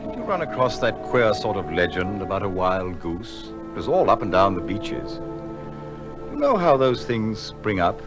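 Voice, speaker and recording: A man's voice: on the low side, big pitch swings, moderate pace, over music. An actor playing a curious, well-spoken military man, chatting in an educated way in an audio drama.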